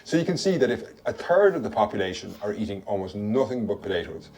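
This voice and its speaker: Measured, low-voiced man explaining history. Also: very animated, darkish tone. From Ireland. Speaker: history presenter. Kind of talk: scripted explanatory narration.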